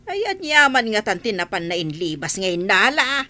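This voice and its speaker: Woman as a fretful old mother, bright, animated. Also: medium pitch, moderate pace, no echo. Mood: alarmed. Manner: complaining, lamenting. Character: elderly village mother, fretful and fed-up wife. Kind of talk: Ilocano comedy radio drama acting. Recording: noticeable background noise.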